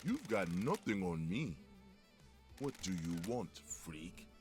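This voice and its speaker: A haughty male video game character, full of contempt. He delivers curt, sneering one-liners slowly, with pitch that swings widely over game music. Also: huge pitch swings, darkish tone, full character voice. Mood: scornful.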